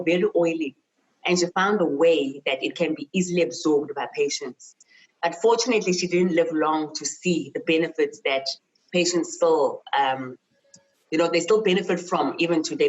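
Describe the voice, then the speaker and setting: Interview guest: woman, South African accent, low pitch, earnest and emphatic, personal storytelling with repeated phrases for stress. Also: low-quality recording. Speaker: university chemist and lecturer, interview guest.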